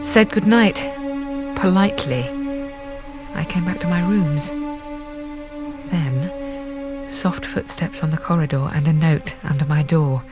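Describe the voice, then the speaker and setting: An actress playing an earnest, self-conscious young psychiatrist in love, speaking in an articulate, confiding voice in a radio drama. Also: female voice, deep voice, takes it slowly, pauses often. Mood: subdued, confiding. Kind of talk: radio drama acting with intimate narration. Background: music.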